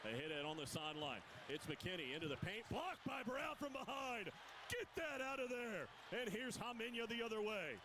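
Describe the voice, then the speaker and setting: A man calling a live college basketball game on radio, his voice climbing with each big play. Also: sits high. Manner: rapid, rising excitement on big plays. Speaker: play-by-play announcer. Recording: broadcast with loud arena noise.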